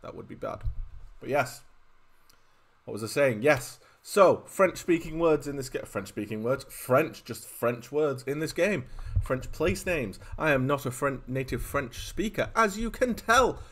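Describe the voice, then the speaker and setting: A man's voice: medium pitch, expressive, a little slow. A young man with a Yorkshire accent, chatting casually and rambling on a live game stream from home, with self-deprecating asides. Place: home room.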